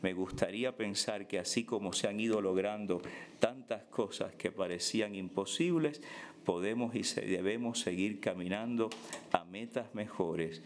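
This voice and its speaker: A man's voice: lowish voice, slight background noise. A man from Cuba, reading a formal prepared speech as a church representative at a symposium. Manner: measured, reads a written address.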